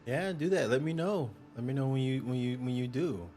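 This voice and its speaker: A young man streaming a game, chatting casually with viewers in a smooth, upbeat, joking way. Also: medium pitch, fairly quick, mellow, faint music behind. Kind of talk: casual livestream chat.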